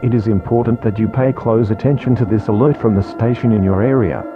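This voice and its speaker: A man's voice: fairly low pitch, a little fast. A man reading a scripted standby announcement for an emergency alert. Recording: dull lo-fi audio with music.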